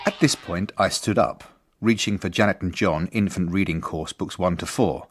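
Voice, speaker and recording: An actor playing an earnest, polite, beleaguered headmaster in a radio drama, with a dry, formal, measured manner. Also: male voice, deep voice, breathy edge. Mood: exasperated, wry. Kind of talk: radio drama narration and dialogue.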